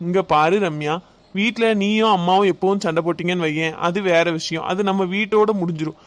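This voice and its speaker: A man playing a gentle, coaxing young husband. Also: sits high, a little fast, natural voice. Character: patient young husband soothing his wife.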